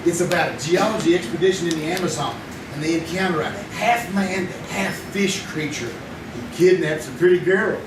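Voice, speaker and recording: A man's voice: high voice, moderate pace, natural voice. A man playing a casual, joking teenage boyfriend in a live old-time radio drama. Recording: roomy, distant live stage recording with noise.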